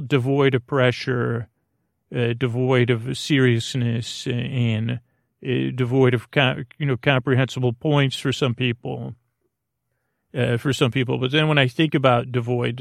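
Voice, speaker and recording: Creaky-voiced man, halting and meandering. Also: mid-range voice, no echo, clean studio sound. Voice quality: creaky, gravelly. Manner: halting, digressive, frequent false starts and repetitions; gently silly, goofy. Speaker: podcast host. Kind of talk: rambling, meandering solo bedtime podcast monologue.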